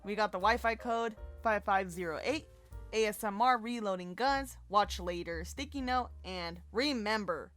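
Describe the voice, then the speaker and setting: A woman's voice: mid-range voice, moderate pace, a bit bright, faint music behind. A woman co-hosting a fan breakdown, giving recap commentary in a playful, jokey way. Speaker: co-host of a fan breakdown show.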